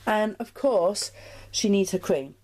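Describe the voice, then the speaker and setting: A woman's voice: mid-range voice, animated, slow, darkish tone. A woman in her thirties casually narrating a home vlog. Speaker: mother and parenting vlogger. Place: inside a house, walking between rooms. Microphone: handheld action camera.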